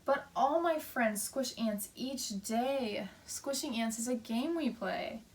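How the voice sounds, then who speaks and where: Woman reading as a higher-voiced, dismissive storybook boy. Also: expressive, takes it slowly, distant mic. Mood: defiant. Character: boastful, dismissive young boy squashing ants.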